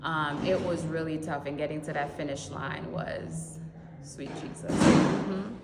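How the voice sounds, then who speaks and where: A woman from Haiti with a fairly low voice talks in an animated way about running and laughs often. The recording is echoey with a long reverb tail, and loud ambient noise sits behind her. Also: measured pace. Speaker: marathon runner, interviewee. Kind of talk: casual interview.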